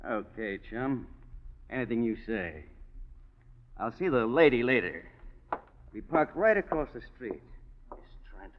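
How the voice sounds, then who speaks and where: Menacing 1940s radio gangster, swooping delivery. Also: male voice, medium pitch, very animated, measured pace, pauses often, a little hiss or hum. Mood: sullen, threatening. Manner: tough slangy talk, clipped commands. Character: menacing male gangster threatening his ex-fiancee. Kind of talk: 1940s radio crime drama acting. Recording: dull, lo-fi 1940s radio recording.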